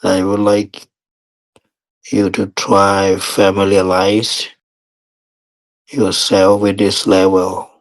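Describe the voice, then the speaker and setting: Slow, deep-voiced male meditation teacher. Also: monotone, rough recording. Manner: measured, with long pauses between short phrases. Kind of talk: guided meditation instruction.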